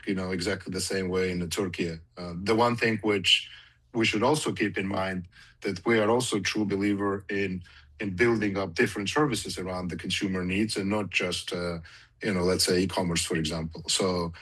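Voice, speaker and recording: A man's voice: low-pitched, fairly bright tone, husky, low-quality recording. A male company executive giving an unscripted answer on an earnings call, emphatic and repeating himself for weight.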